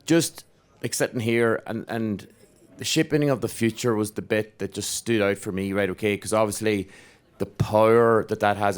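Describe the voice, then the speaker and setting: Low-voiced man questioning from the floor. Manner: halting, many restarts and "like". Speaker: audience member asking a question. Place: conference room audience.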